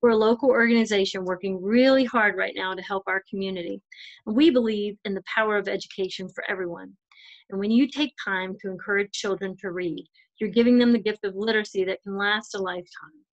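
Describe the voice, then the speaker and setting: A woman's voice: medium pitch, a little fast, dry recording, dull audio. A woman narrating a children's storybook as a volunteer storytime reader.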